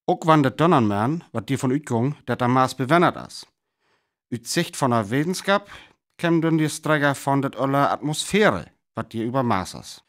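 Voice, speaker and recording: A man with a North Frisian accent playing a self-assured, dismissive older astronomy professor in a radio play, explaining in a halting way. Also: mid-range voice, expressive, fairly bright tone, close to an everyday voice.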